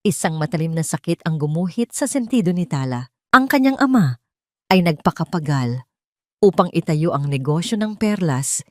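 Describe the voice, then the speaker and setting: A woman's voice: lowish voice, expressive, moderate pace, a little mellow, dry recording, clean studio sound. A woman narrating a scripted romance drama as a storyteller, in a dramatic style.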